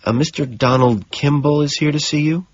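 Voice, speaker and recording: A man's voice: on the high side, fairly even intonation, moderate pace, lo-fi sound, slight background noise, voice pushed up, natural voice. A man reading an audiobook as a timid, deferential female secretary, nervous and hesitant. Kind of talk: dramatised audiobook narration.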